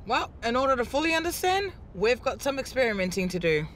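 A woman's voice: on the high side, sing-song, a little fast, a little hiss or hum. A young woman from South Auckland with a New Zealand accent, hosting with cheeky, playful comic narration. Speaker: host.

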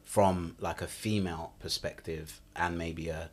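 A man in his thirties hosts a studio podcast, his voice low and deep through a boom mic. He asks questions in a friendly, teasing way, full of energy. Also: fairly even intonation, a little slow, a little mellow. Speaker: podcast host. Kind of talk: casual podcast interview. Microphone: studio mic on boom arm.

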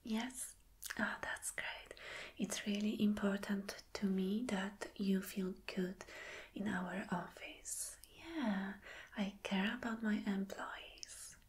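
A woman whispering softly in an ASMR roleplay as a flirty, attentive boss, gentle and reassuring. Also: medium pitch, little pitch movement, takes it slowly. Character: flirty, attentive female boss soothing an employee. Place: small dim room indoors.

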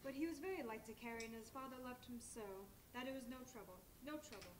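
An actress playing a gentle grieving mother speaking softly about her lost child. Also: on the high side, animated, quick pace, close to an everyday voice. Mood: sorrowful. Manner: gentle, sorrowful. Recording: echoey and distant, hall noise.

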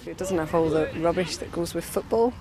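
A woman's voice: lowish voice, fairly quick. A woman in her forties talking casually in an interview as a club coach. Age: 40s. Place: open grass playing field. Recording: noisy outdoor field.

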